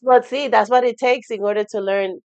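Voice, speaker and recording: A woman's voice: on the high side, fairly even intonation, fairly quick, a little mellow, no room sound. Woman podcast host, dry muffled sound. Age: 20s. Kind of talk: casual interview questions.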